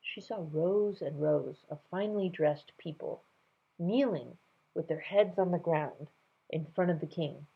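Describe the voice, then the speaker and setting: A woman reading a storybook aloud as a storyteller, in an expressive scripted narration. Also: fairly low pitch, a little slow, creaky, breathy edge, highs cut off.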